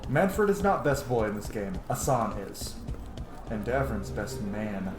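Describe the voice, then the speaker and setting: A man's voice: on the low side, big pitch swings, unhurried. A man in his thirties giving casual, rambling, sweary let's play commentary as a gaming streamer. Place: small home room, indoors. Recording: echoey room with game music. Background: game music and sounds.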